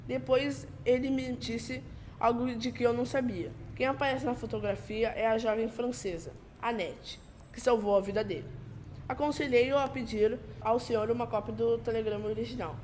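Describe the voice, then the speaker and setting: A young female performer playing a composed, knowing gentleman in a 1940s-style amateur radio drama, reciting formally and deliberately. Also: on the high side, steady tone, slight background noise, lightly acted. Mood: composed, explanatory.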